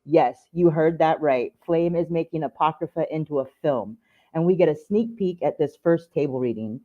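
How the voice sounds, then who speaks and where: A woman's voice: low voice, moderate pace. A woman delivering a prepared introduction to welcome guests to an event. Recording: thin phone-like narrow band.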